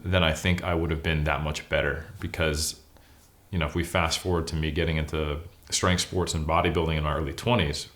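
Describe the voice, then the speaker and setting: A man's voice: rumbling low, fairly bright tone. A man of forty with an American accent, talking casually and candidly about himself as an interview guest, with a self-deprecating manner. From California, United States. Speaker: interview guest, bodybuilder and academic. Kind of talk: casual interview, personal storytelling.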